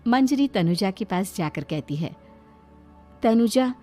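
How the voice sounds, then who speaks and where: A woman's voice: mid-range voice, animated, normal speed, soft background music. A woman narrating a scripted cartoon story as its storyteller.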